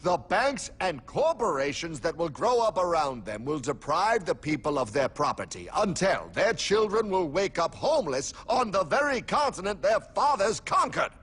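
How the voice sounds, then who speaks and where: A man's voice: high-pitched, sing-song, moderate pace, hardly any pauses, husky, big-room sound, exaggerated voice. Solemn declaiming founding statesman. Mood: grave warning. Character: solemn, resolute male founding statesman, oratorical. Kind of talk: formal cartoon oratory. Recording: loud music behind the voice.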